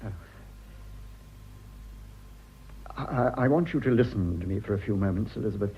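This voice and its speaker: Awkward lovesick british husband in a radio play, husky, stammery n apologetic, bit muffled sound. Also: male voice, fairly low pitch. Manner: hesitant, halting, apologetic. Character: earnest, awkward, lovelorn husband pleading with his wife. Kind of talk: radio drama acting.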